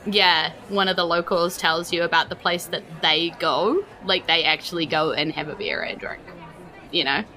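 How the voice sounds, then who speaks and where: A woman's voice: fairly low pitch, normal speed, pushed and bright, low-quality recording. A woman with a New Zealand accent running a tabletop game, improvising descriptions in a colloquial, conversational way. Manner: conversational, colloquial, piecing descriptions together as she goes. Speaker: game master. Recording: music playing behind the voice.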